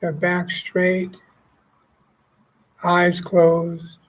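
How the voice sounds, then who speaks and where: A man leading a guided meditation as a teacher, speaking calmly and gently in short phrases with pauses. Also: high voice, rough recording. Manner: calm, gently instructive, pausing between short phrases.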